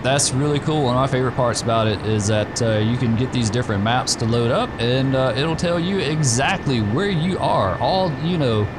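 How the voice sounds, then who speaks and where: Slightly hoarse man chatting over gameplay. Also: medium pitch, normal speed, hardly any pauses, noisy background. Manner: relaxed, rambling, many fillers. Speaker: gaming channel host. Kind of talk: casual gameplay commentary.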